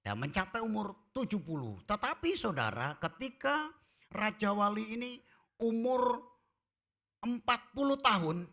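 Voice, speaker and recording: Bright-voiced preaching man, booming peaks. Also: big pitch swings, a little slow. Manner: swings from quiet asides to loud shouted emphasis; folksy, jokes with the congregation. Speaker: pastor. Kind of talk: sermon. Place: church.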